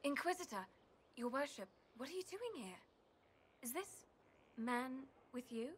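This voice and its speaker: A fairly high voice a timid female healer in a fantasy game. She is earnest and deferential, with hesitant phrasing, over faint noise and ambience. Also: very animated, measured pace. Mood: deferential, puzzled. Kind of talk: dramatic video game voice acting.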